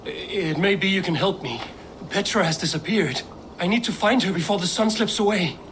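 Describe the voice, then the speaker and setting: An actor playing a steadfast, wary and devout Viking warrior in a video game, measured and earnest. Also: male voice, high voice, a bit bright, a little hiss or hum. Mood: respectful, earnest.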